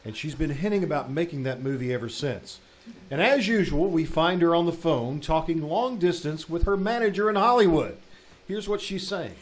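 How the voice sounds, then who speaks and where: A man's voice: on the high side, animated, moderate pace. A man announcing a scripted show in 1940s radio style, recorded live in a theater. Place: small-town theater, live performance. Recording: live theater stage, noticeable background noise.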